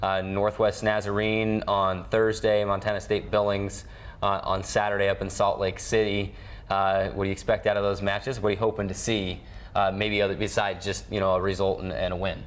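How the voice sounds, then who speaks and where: Male broadcaster, lowish voice, sports program host, presenting and interviewing. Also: level tone, normal speed.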